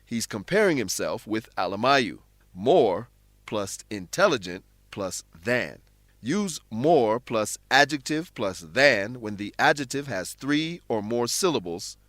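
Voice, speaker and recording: A man narrating a scripted English lesson in a deliberate, explanatory manner, like a teacher. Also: mid-range voice, a bit bright, dry recording.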